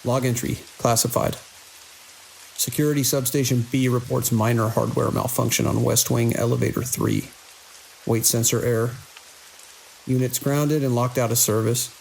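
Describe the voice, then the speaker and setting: A weary male security chief on night watch, played by an actor, with a gravelly voice and dry, measured delivery. It is audio drama, with a light layer of hiss and rain-like noise over it. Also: mid-range voice, a little mellow, natural voice, rough recording. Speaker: security lead. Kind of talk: audio drama, logs and radio dialogue.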